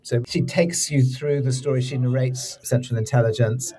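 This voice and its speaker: A man's voice: medium pitch, fairly even intonation, normal speed, warm tone, low-quality recording. A man speaking as a drama director being interviewed, explaining things conversationally with loose, informal phrasing. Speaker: audio drama director and executive producer, interview guest.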